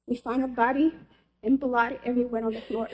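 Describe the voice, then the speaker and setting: A woman's voice: fairly high pitch, level tone, brisk, dull audio. Earnest woman from El Salvador testifying. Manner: earnest, heartfelt, personal. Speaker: member of the public testifying. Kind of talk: personal testimony at a legislative hearing.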